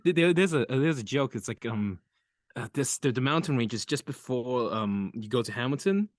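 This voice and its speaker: A man's voice: medium pitch, animated, normal speed, dry recording, clean studio sound. A young man from Auckland with a New Zealand accent, a voice actor guest chatting hesitantly and trailing off. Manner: hesitant, trailing off, quiet laughs. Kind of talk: casual interview chat.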